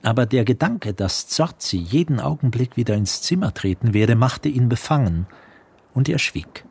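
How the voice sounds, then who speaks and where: A man narrating a literary radio play in a measured, precise storytelling manner. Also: mid-range voice, expressive, gravelly, darkish tone, slightly rough. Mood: composed. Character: composed literary male narrator. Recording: music under the narration.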